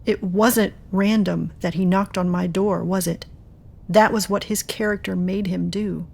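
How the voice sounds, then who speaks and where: A woman's voice: mid-range voice, steady tone, measured pace, gravelly. A woman playing an earnest, reflective woman searching for answers in an audio drama. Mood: reflective, assured.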